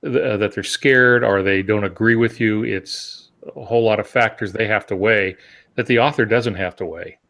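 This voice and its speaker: A man's voice: lowish voice, normal speed, gravelly, fairly bright tone. Man explaining things in conversation. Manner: conversational, explains step by step with rhetorical questions. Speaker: podcast panelist. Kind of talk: casual explanatory discussion.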